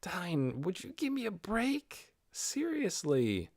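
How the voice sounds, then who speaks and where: A shy young adventurer from a fantasy audiobook, acted by a man, pleading and flustered. The voice is lifted high and kept soft, with very little room around it. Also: wildly swooping pitch, takes it slowly, dry recording, studio quality, full character voice. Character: shy, easily embarrassed young male adventurer.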